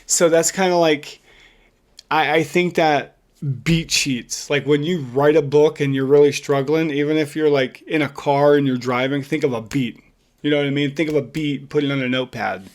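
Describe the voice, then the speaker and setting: A man's voice: fairly high pitch, steady tone, normal speed. A man co-hosting a podcast in casual chat, speaking informally and encouragingly, often saying "dude.". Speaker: podcast co-host.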